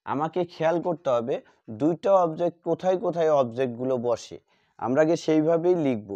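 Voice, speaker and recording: A man teaching a grammar lesson, repeating key words slowly as he writes them out. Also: a little high, warm tone. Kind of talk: classroom lesson at a board. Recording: dull lo-fi sound.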